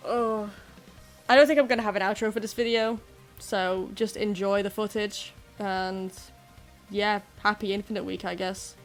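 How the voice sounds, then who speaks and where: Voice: mid-range voice, fairly even intonation, moderate pace. A YouTuber presenting fan theories in a casual, self-deprecating commentary addressed to viewers. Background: music.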